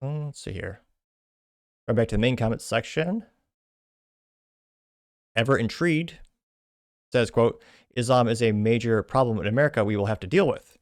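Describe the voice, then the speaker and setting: A man's voice: medium pitch, normal speed, pauses often, no room sound. A man hosts a casual commentary show in a dry, close-sounding recording. He reads comments aloud in a conversational way, drifting into rambling asides and correcting himself as he goes. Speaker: host.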